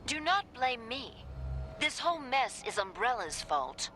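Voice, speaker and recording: A woman playing a cold, controlling villain mastermind in a video game, haughty and deliberate in delivery. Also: fairly high pitch, very animated. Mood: cold blame.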